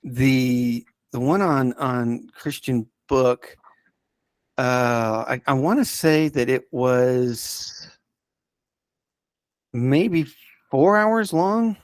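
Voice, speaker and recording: A man giving recommendations as a podcast guest in casual unscripted chat, enthusiastic but halting and rambling as he searches for words. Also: mid-range voice, no room sound. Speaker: podcast guest reviewing audio dramas.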